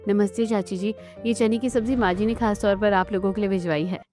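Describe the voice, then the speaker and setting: A woman playing a young, questioning Indian daughter-in-law in a family cartoon, talking in an animated, pert way. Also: medium pitch, a little fast, faint music behind, natural voice. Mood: cheerful. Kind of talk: Hindi family cartoon dialogue.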